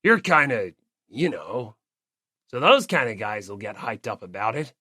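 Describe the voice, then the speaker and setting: A performer voicing a brash, gruff teenage male biker gang leader in an audiobook, blunt, proud and slangy. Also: mid-range voice, sing-song, a little slow, bright, forceful tone, no echo, studio quality, pitched below the performer's own voice, heavily acted. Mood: awkward. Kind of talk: audiobook character acting.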